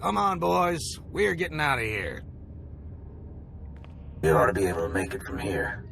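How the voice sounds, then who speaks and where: An actor playing a good-hearted working-class salvager in a video game, folksy, drawling and earnest. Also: male voice, mid-range voice, huge pitch swings, normal speed, gravelly, fairly bright tone, slight background noise, exaggerated voice. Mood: relieved. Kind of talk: video game character acting. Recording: music behind the voice.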